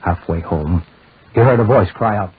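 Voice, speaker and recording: A man's voice: fairly low pitch, normal speed, husky. A man narrating grave, dramatic horror in 1940s radio style with emphatic repetition. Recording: muffled scratchy 1940s radio recording.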